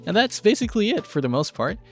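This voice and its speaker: A man's voice: high voice, very animated, fast. Casual male tutorial host with music behind. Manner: casual, playful, tongue-in-cheek asides. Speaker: video show host, art tutorial presenter. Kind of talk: semi-scripted art tutorial narration with casual asides.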